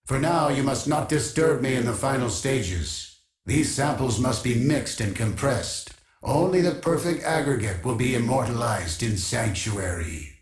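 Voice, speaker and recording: Male actor as obsessive digital archive keeper, mid-pitched, pompous, formal, measured, echoing game dialogue. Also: animated, natural voice. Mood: grandiose. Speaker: video game quest-giving character. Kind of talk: scripted video game character dialogue.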